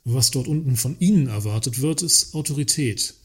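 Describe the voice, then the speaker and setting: An actor playing a works councillor who is politely needling yet placating, speaking in a satirical radio play. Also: male voice, mid-range voice, lively intonation, moderate pace, breathy edge. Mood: politely mocking. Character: male works councillor, mildly mocking yet placating the boss. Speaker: actor in a satirical audio drama. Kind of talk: German radio play dialogue.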